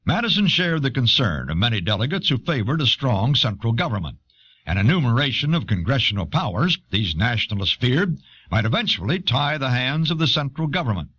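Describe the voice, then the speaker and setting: A husky-voiced man narrates educational material from a script. His intonation moves widely and keeps an animated shape. The recording is a dull old audio cassette. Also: medium pitch, fairly quick.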